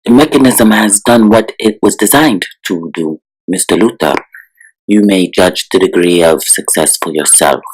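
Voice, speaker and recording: A scientist henchman voiced by a man with a fairly low voice. He reports to his master formally and carefully, with a deferential manner, as in a comic-book drama. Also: moderate pace, low-quality recording.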